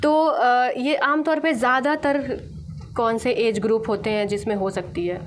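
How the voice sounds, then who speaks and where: High-voiced woman interviewing on radio. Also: steady tone, a little slow.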